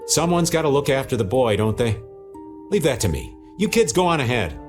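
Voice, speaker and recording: A man's voice: mid-range voice, very animated, brisk, soft background music, close to an everyday voice. A man playing a laid-back, roguish middle-aged adventurer in a video game, with a folksy, slangy delivery. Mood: easygoing, grumbling. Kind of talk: English video game dub.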